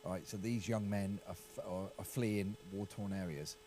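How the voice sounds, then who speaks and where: Halting low-voiced English man. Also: fairly even intonation, warm tone, slight background noise. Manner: halting, repeats words, hedging. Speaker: county councillor. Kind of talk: casual political discussion.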